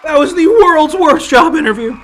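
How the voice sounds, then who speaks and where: A man's voice: very high voice, lively intonation, moderate pace, a faint crowd, slight background noise. A man hosting a live improv comedy stream, plugging the channel. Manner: upbeat promo plug. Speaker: improv show host. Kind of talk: live stream host outro.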